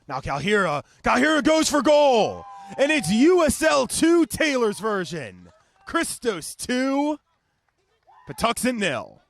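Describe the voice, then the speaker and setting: A man doing television soccer play-by-play in short, clipped, energetic phrases. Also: very high voice, slow pace, creaky, slight background noise.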